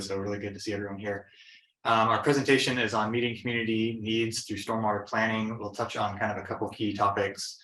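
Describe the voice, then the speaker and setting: Low flat-voiced man presenting in a roomy hall. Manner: relaxed, plain explanatory talk with fillers. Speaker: presenter, engineering consultant. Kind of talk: conference presentation. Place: conference room.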